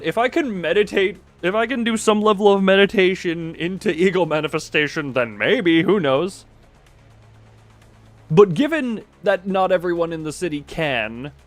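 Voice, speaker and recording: Rambling man streaming over game music. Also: a little high. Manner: rambling, thinks aloud, stammers on word starts. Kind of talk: casual live-stream commentary, reading game text aloud.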